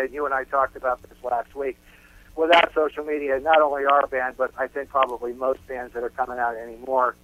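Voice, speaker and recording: A man speaking as a musician guest in a casual radio interview, emphatic and often repeating his phrases for weight. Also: mid-range voice. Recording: dull, noisy phone-like radio line.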